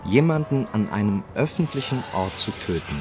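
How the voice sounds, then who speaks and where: An actor narrating a melancholy crime radio drama in a terse, detached manner. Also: male voice, on the low side, lively intonation, normal speed, close to an everyday voice. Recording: music behind the narration.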